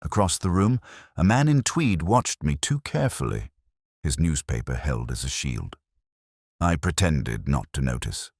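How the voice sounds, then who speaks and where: Male narrator, very low voice with lively intonation, dry studio sound; solemn scripted detective fiction, measured and literary. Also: creaky, no echo. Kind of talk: scripted first-person detective story narration.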